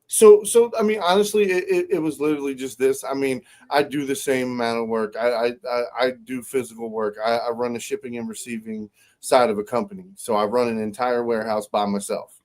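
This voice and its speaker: A man's voice: mid-range voice, fairly even intonation, fairly quick, darkish tone. A man in his thirties, a guest on a casual podcast. He chats loosely, laughs often and stumbles over repeated words. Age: 30s. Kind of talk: casual chat.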